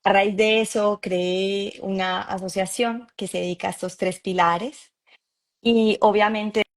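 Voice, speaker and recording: A woman's voice: medium pitch, fairly even intonation, unhurried. A Colombian woman in her forties, a psychologist guest, telling her story in an easy, laughing way. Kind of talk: conversational interview.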